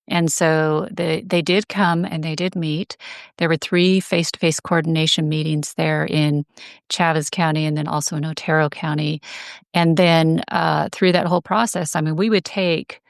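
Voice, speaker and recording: Low-pitched woman, creaky voice. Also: fairly even intonation, a little slow, dry recording, clean studio sound. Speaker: guest, advocacy group director. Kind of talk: conversational interview explanation.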